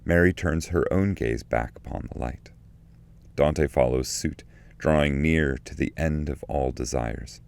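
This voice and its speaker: A man narrates literary commentary from a script. His voice is extremely deep, with a gravelly fry running through it. The delivery is solemn and deliberate, each phrase measured out. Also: a little mellow. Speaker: narrator and translator reading his edition.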